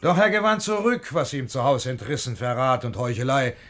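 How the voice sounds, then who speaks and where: An actor playing a reasonable, wry gentleman friend in a German verse radio drama, speaking in a measured, courteous way. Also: mid-range voice, sing-song. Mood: explaining.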